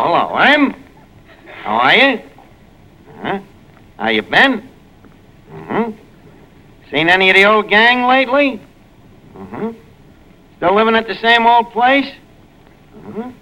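A gruff, dim New York barman full of wisecracks and mangled words. His voice is very bright and lively in pitch, fairly high, with halting slangy delivery. It is 1940s radio comedy on a noisy old recording. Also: exaggerated voice. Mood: hopeful, fumbling. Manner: hesitant, bumbling, slangy. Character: gruff, dim malapropping wisecracking tavern manager, male. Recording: noisy vintage radio broadcast.

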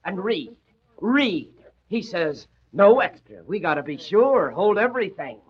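An excitable newspaperman pitches his complaints high and theatrical. He blusters and wisecracks in exasperation, like 1940s radio drama, through a dull old recording. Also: a little slow, breathy edge, full character voice. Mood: exasperated, indignant.

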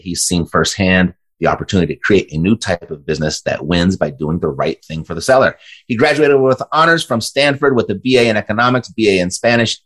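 A man's voice: sits low, a bit bright. A man hosting a real estate podcast, promoting offers and interviewing a guest in an upbeat, friendly way. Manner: upbeat, friendly salesman delivery. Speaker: podcast host.

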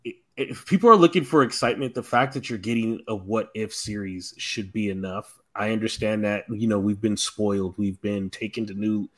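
A man's voice: lowish voice, moderate pace. A man on a podcast panel, giving his opinions in casual review chat.

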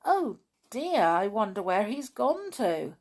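A woman's voice: medium pitch, sing-song, slow pace, a little mellow, heavily acted. A woman voicing a sensitive, tearful female doll in a children's story, stammering and easily cross when upset. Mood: worried.

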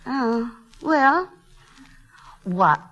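A gentle wife in a vintage radio comedy. The voice is very high, hesitant and tactful, sweetly humoring a husband through a muffled old recording. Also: female voice, lively intonation, extremely slow, exaggerated voice. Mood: politely puzzled. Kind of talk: 1940s radio comedy acting.

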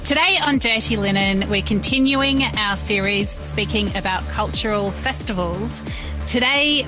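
A woman hosting a podcast, asking friendly, curious questions. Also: medium pitch. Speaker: podcast host. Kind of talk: podcast interview.

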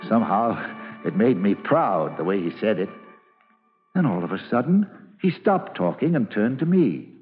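A man's voice: on the low side, sing-song, normal speed. A man playing a grown actor who fondly recalls his boyhood in a folksy old-time radio drama narration. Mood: proud, wondering. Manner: nostalgic, folksy storytelling. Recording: tinny vintage radio, loud music behind.

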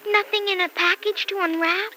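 A woman's voice: very high-pitched, steady tone, normal speed, sharp tone. A woman playing an eager, sweet little girl with an earnest, childlike manner in an old-time radio soap. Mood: hopeful, pouting. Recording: noisy vintage radio recording.

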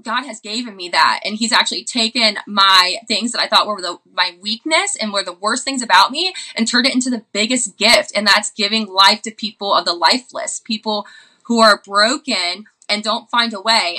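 Earnest woman with a very bright, forceful voice. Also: medium pitch, moderate pace. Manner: earnest, impassioned, repetitive phrasing.

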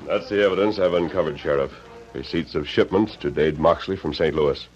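An American actor playing a steadfast, commanding masked hero in an old radio western, assured, earnest and clearly spoken. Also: male voice, very deep voice, a little fast, over music. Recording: dull lo-fi vintage radio recording, some noise.

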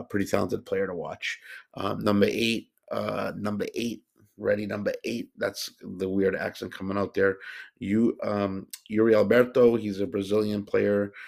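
A man's voice: lowish voice, vocal fry, a little mellow, slightly rough. A man hosting a solo podcast, talking casually and rambling with lots of fillers and restarts. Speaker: podcast host.

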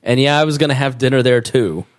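A man's voice: mid-range voice, fast. A man hosting a podcast in casual chat, telling self-mocking stories while getting over a cold. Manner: joking, self-mocking storyteller. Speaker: podcast host. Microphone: studio mic.

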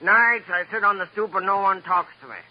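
An actor playing a put-upon, self-pitying apartment house manager in a radio crime drama, slangy and grudging. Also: male voice, high-pitched, a little fast. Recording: muffled, noisy old radio recording.

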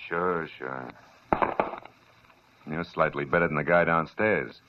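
A man's voice: very deep voice, takes it slowly, noisy background. A man playing a dry, wisecracking hard-boiled private detective in an old radio drama. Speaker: narrator and lead. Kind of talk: 1940s radio drama acting. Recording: dull lo-fi old radio recording.

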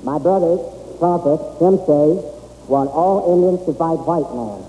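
A man's voice: on the high side, slight background noise, close to an everyday voice. A man playing a stoic warrior from history, speaking in terse, broken English on an old radio show. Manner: clipped, terse, halting. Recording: dull old radio recording, echoey hall.

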